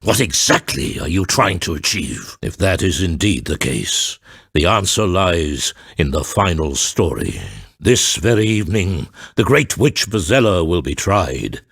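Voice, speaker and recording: An actor playing a grave, theatrical middle-aged father and storyteller in a video game, speaking in a deliberate, formal and grandiose way with a gravelly, husky voice. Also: low-pitched, very animated, fairly bright tone, dry recording, clean studio sound, exaggerated voice. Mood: grave, composed. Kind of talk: dramatic video game voice acting.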